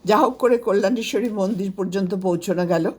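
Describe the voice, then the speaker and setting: A woman reading a scripted first-person story aloud as its storyteller, with an expressive delivery. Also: medium pitch, fairly quick. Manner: expressive, acts out quoted dialogue.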